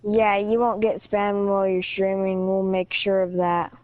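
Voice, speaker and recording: A woman's voice: mid-range voice, level tone, a little slow, clear, resonant tone, dry recording. A woman chatting casually over an online voice chat party, rambling with lots of "like" and "yeah". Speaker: friend in voice chat. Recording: dull lo-fi voice chat audio.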